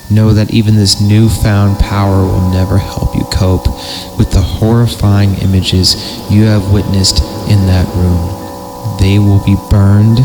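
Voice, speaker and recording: A man with a fairly low voice reads horror in a theatrical, drawn-out storytelling style. He undercuts it with crude comic asides. The recording has clear noise behind him. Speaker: podcast host and reader. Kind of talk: dramatic horror story reading with joking asides. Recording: clearly noisy amateur recording.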